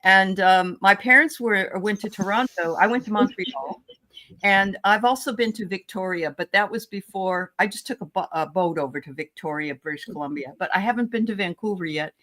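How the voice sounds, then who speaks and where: A woman's voice: mid-range voice, normal speed, sharp tone, rough recording. A woman with a Boston accent hosting a live stream chat, greeting viewers by name. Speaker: host.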